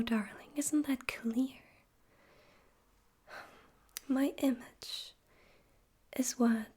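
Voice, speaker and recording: A woman voicing a smug, theatrical male demon overlord in an ASMR roleplay, in a soft breathy whisper with a deliberate, teasing, condescending manner. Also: on the high side, exaggerated voice. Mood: condescending, guarded.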